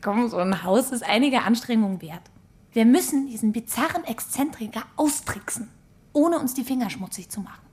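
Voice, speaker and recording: A woman's voice: a little high, animated, moderate pace, darkish tone, natural voice. A woman playing a cool, scheming thirty-something. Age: 30s. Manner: blunt, offhand. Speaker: librarian. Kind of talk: radio drama acting.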